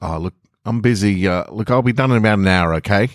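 A man reading the part of a busy, affectionate father in a short story, offhand and halting. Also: lowish voice, dry recording, studio quality, natural voice. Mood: distracted, apologetic.

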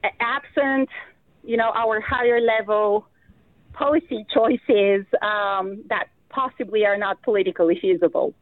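A woman from Bulgaria, a retirement policy expert, answering interview questions in a measured, explanatory way with frequent ums and restarts, heard over a telephone line. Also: mid-range voice, no room sound. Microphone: phone. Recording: tinny phone line.

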